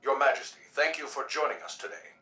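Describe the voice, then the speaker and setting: An actor playing a polished, formal city news broadcaster, probing guests in a video game radio interview. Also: male voice, mid-range voice, sing-song, sharp tone, echoey room, far from the mic. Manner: formal, measured announcer phrasing.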